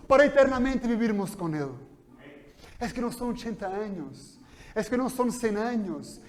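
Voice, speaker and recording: Fervent pastor preaching. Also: male voice, high-pitched. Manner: swings from quiet explanation to loud fervent preaching. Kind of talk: sermon. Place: church hall.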